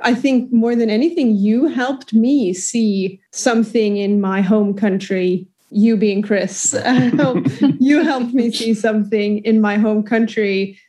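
A woman from the south of Sweden with a Swedish accent, chatting casually as a podcast guest, with many pauses and fillers. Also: fairly high pitch, dark tone. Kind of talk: casual chat.

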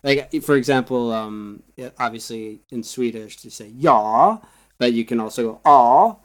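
An American man in casual podcast talk, explaining things in an easygoing, self-deprecating way. His voice sits at a middle pitch, with nothing unusual in its tone. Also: unhurried. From United States. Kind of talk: casual chat.

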